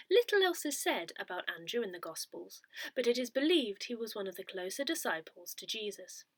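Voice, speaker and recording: A woman reading a scripted newsletter aloud in a measured, expressive way. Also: mid-range voice, no echo. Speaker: newsletter reader.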